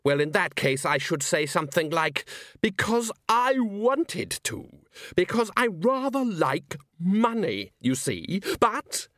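A suave storybook villain acted out by a man, with pitch that swoops up high and wide. He is grandiose and mock-polite, stressing his words with sardonic relish in a close, dry recording. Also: sing-song, takes it slowly, a bit bright, no echo, exaggerated voice. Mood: theatrical contempt. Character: suave, sardonic, theatrical male villain with a sword.

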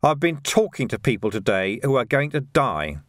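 A man's voice: medium pitch, sing-song, normal speed, a bit bright, no echo, studio quality. A man voicing an irritable, weary watch commander in an audiobook, blunt and exasperated. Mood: bitter. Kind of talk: audiobook character voice.

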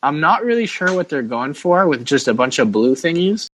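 A man's voice: a little high, very animated, normal speed, a bit bright, slight background noise. A man hosting a casual sports podcast, chatting informally and giving firm opinions. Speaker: podcast host.

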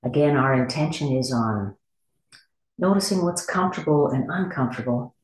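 A meditation teacher with a medium-pitched voice guides a body scan. The delivery is gentle and unhurried, with long pauses between short instructions. The recording carries noticeable room echo. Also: animated, slight background noise. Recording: echoey room.

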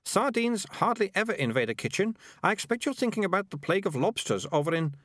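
A man voicing a blunt, scornful girl in a storybook, sharp and haughty in manner. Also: high-pitched, big pitch swings, fast, dry recording, clean studio sound, voice pushed up, exaggerated voice. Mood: triumphant, scornful. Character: blunt, scornful girl who hates rats.

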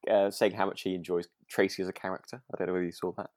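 British guy podcast cohost, pretty low voice, kinda halting and understated, very dry sound. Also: little pitch movement, a little mellow, no room sound. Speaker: podcast co-host. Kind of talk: casual podcast chat.

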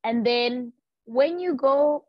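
A woman from Africa in a casual conversation, speaking in a slow, thoughtful, laid-back way. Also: high-pitched, no room sound. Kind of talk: casual chat. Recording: dull dry lo-fi sound.

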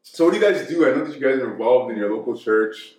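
A man's voice: medium pitch, lively intonation, fast, a little mellow. A young man hosting a podcast, asking his guests questions in an earnest, encouraging way. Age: young adult. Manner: earnest, encouraging, repeats words. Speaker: podcast host. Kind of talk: casual podcast interviewing. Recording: roomy room sound.

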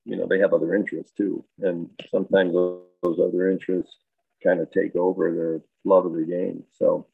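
A man with a low, gravelly voice, talking like a high school baseball coach who circles his points with fillers and restarts. The sound is thin and phone-like, and the room is dry with no echo. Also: level tone, normal speed. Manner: thoughtful, rambling with many fillers and restarts. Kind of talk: casual interview answers. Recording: dry, tinny phone-like line.

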